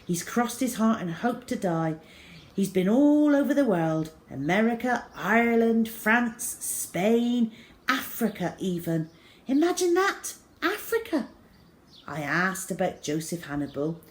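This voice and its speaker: A woman storyteller reading a children's book aloud in the first person, with dramatic emphasis that moves between emphatic and hushed delivery. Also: medium pitch, sing-song, slow. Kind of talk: expressive first-person children's audiobook narration.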